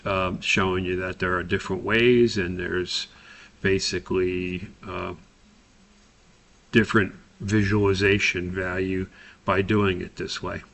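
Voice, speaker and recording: Man, low-pitched, flat intonation, instructor, step-by-step software walkthrough, thinking aloud. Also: unhurried, vocal fry. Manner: explanatory, thinking aloud as they go. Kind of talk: spoken screen-recorded software tutorial.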